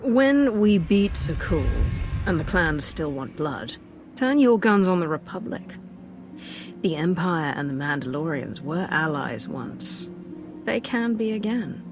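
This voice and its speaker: A commanding female game character, shrewd and in control. Her voice is low and moves widely in pitch, with music underneath. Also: sing-song, unhurried. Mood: shrewd, assertive. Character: commanding, calculating female Sith alliance leader. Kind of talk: video game acting.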